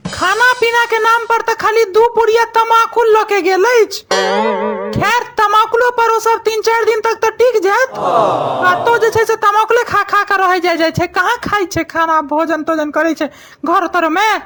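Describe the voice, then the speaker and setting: A woman's voice: very high voice, measured pace. A woman with a Maithili accent voicing a silly, blundering scientist's assistant in a comic cartoon, rambling and cheerfully callous. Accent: Maithili, Mithila region. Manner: rambling, colloquial, with repeated filler phrases. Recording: music bed under the voice.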